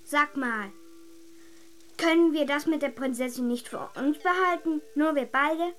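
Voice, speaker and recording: A woman playing a schoolgirl big sister in a German radio drama, bossy, secretive, brisk and childlike. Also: high voice, a bit bright. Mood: secretive, insistent.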